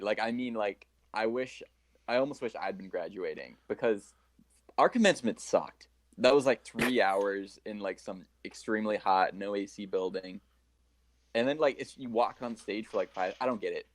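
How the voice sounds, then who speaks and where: Low, dry-sounding young American man. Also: normal speed, no echo. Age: young adult. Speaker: podcast co-host. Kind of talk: casual, opinionated chat.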